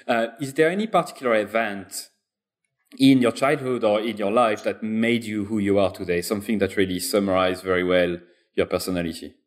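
A man with a fairly low voice hosts a casual interview, asking friendly, curious questions. He nudges the guest along with short prompts and follow-ups. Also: steady tone, a little fast.

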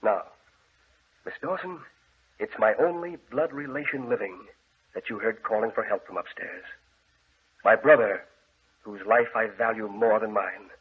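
A solemn, commanding gentleman with a husky, fairly low voice. He speaks formally, in the manner of vintage radio horror, on a tinny old recording. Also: normal speed, long pauses. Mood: formal, grave. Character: grave, controlling gentleman guarding his deranged brother. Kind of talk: 1940s radio horror acting.

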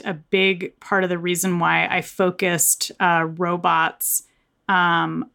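A woman's voice: lowish voice, gravelly, a bit bright. A woman speaking as an author in an interview, explaining her work in a conversational, storytelling way.

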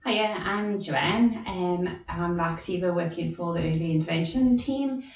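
A middle-aged woman from South Africa with a South African accent, a social worker speaking thoughtfully with many pauses on a podcast panel. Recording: echoey room with faint rumble.

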